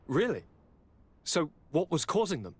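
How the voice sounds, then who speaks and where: A man's voice: a little high, wildly swooping pitch, measured pace, slightly rough, faint music behind, heavily acted. A man voicing a breezy, affectionate young aristocrat in a video game, with a courtly, airy and theatrical way of talking. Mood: warm, curious. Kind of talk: dramatic video game acting.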